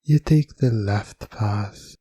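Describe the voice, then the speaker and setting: A man narrating a scripted second-person hypnosis ASMR story in a hushed, suspenseful manner. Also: on the low side, slow pace, no room sound. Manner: hushed, breathy at times, suspenseful.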